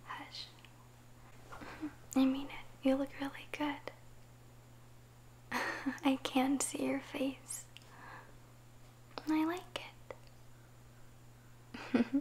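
A woman plays a caring girlfriend in an intimate ASMR roleplay, whispering close to the mic. Her voice is high and very even, with a soft, soothing and gently teasing manner. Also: very slow, pauses often, full character voice. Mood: affectionate, teasing. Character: affectionate, gently teasing young girlfriend giving a massage.

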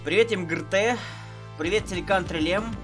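A chatty man in his thirties streams a retro game from a small room, speaking into a headset. His voice sits a little high for a man, and game music plays clearly under his talk. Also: moderate pace, fairly bright tone. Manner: chatty, greets and thanks viewers one by one. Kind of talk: casual live-stream chat.

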